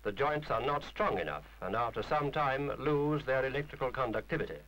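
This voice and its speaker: Guy doing formal how-to film voiceover, very precise teacherly delivery, mid pitch that moves around a lot. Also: animated, brisk, a bit bright, poor audio. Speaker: narrator of an instructional film. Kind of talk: scripted instructional narration.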